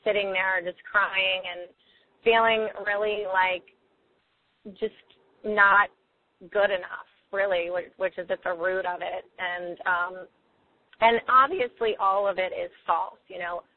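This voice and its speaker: A hoarse woman hosting a call-in coaching show, chatty and open, with a lot of "like" and "you know". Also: medium pitch, fairly even intonation, takes it slowly, slight background noise. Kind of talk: casual hosting on a phone and webcast call. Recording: tinny phone line.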